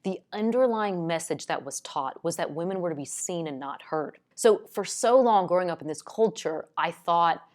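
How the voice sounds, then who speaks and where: Earnest woman with a lowish voice. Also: animated, normal speed, few pauses. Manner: loud, outspoken voice. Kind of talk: earnest teaching talk to camera.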